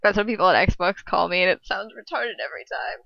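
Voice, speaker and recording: A woman's voice: mid-range voice, quick pace, vocal fry, dry recording, muffled recording. A young woman co-host chatting casually, with short, teasing, offhand remarks. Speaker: co-host of a fan fiction read-aloud. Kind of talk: casual chat.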